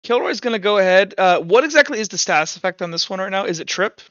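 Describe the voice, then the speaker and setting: A man with a high, crisp voice runs a tabletop game as its game master. He narrates informally and haltingly, with some animation. The recording is dry and slightly dull. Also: animated, a bit bright, no room sound.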